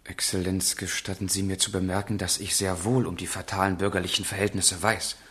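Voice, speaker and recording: An idealistic young gentleman in a period radio drama. The voice sits fairly low. He speaks with formal courtesy and earnest fervour. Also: little pitch movement, a little fast. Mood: fervent, earnest.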